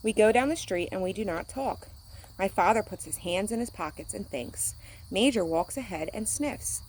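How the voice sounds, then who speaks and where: Lower-voiced woman reading a picture book. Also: a little hiss or hum, low-quality recording. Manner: acts out sound words; simple, addressing young listeners. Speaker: teacher reading aloud to children. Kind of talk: picture-book read-aloud.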